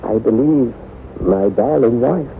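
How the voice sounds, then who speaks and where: An actor playing an anguished, devoted nobleman husband, formal, grave and halting, in old-time radio drama style. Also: medium pitch, gravelly. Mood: grave, haunted. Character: anguished, haunted nobleman husband devoted to his ailing wife. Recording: noisy, narrow-band vintage radio broadcast.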